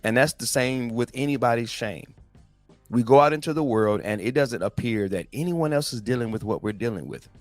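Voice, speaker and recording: A man's voice: medium pitch, fairly quick, dry recording, faint music behind. A man in his forties giving a casual, slangy motivational talk as a podcast host, speaking directly to his listeners. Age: 40s. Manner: conversational, slangy, addresses listeners as guys.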